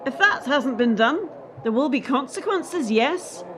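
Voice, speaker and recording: An actress plays a defensive female head of a sports body in a radio play. Her voice is high and swings in pitch while staying firm and official, with faint noise behind it. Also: expressive, a little slow. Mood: guarded, firm. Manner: firm, clipped, evasive, official. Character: defensive, steely female head of gymnastics nearing retirement.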